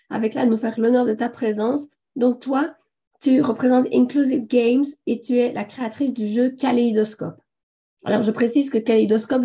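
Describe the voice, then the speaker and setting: A woman with a hoarse voice from a sore throat, enthusiastically and a little haltingly presenting a live online webinar as host. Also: on the high side, dark tone. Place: online video conference.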